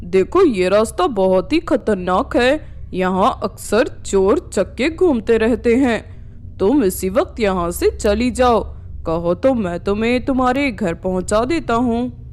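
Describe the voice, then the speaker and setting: A woman's voice: fairly high pitch, expressive, a little slow, darkish tone. A woman voicing a kind, concerned young man in a cartoon story, earnest and pleading.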